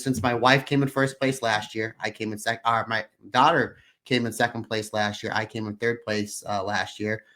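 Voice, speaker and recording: Slightly hoarse man, upbeat and rambling. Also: mid-range voice, a bit bright. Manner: rambling, upbeat storytelling with frequent restarts. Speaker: podcast co-host. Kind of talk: casual podcast chat.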